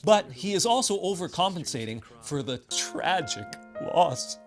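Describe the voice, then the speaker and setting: A man's voice: on the high side, sing-song, measured pace, over music. A man delivering scripted video game commentary in a sardonic, wry way with exaggerated emphasis.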